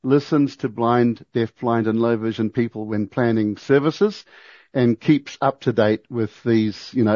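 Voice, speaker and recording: A man with a lowish, dark, mellow voice, speaking briskly and formally. The sound is dry and dull, like lo-fi meeting audio. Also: no room sound. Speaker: board candidate.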